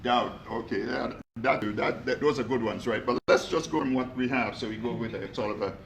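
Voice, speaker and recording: A gravelly-voiced man gives an energetic motivational talk to a live audience, asking them questions as he goes. His voice has a rough, creaky edge. The room is echoey, with a faint hiss underneath. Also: mid-range voice, brisk. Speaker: motivational speaker.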